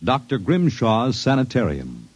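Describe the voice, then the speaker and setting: An American man announcing a scripted 1950s radio show in a dramatic, measured, portentous manner. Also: medium pitch, huge pitch swings. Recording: dull 1950s radio recording, loud music.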